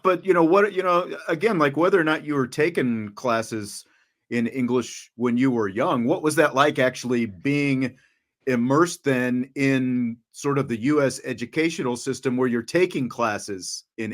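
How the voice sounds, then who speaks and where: A man at a middle pitch hosts an interview, circling around his questions with restarts and fillers. He sounds conversational and easygoing. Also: a bit bright. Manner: rambling questions with repeated restarts. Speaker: interviewer, radio play-by-play announcer.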